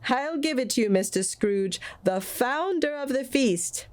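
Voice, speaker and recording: Woman as a hearty, high-voiced family father. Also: expressive, a little slow. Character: cheerful, tender family father giving toasts.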